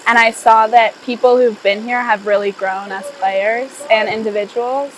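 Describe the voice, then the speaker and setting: A woman's voice: fairly high pitch, steady tone, normal speed, noisy background. A sixteen-year-old woman from Connecticut, walking through events in an orderly, step-by-step way. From Connecticut, USA. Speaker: student athlete. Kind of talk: casual testimonial interview.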